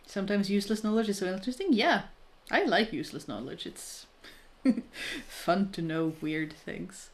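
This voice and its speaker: A woman's voice: mid-range voice, expressive, normal speed. A woman in her thirties chatting casually and candidly with her viewers, thoughtful and self-deprecating, often restarting her sentences. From Norway. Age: 30s. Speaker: crafting streamer chatting with viewers. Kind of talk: casual live chat.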